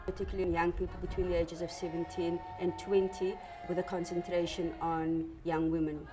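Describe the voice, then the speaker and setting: A woman's voice: lowish voice, little pitch movement, fairly quick, music in the background. A woman explaining a youth program in a documentary interview, matter-of-fact. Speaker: program representative.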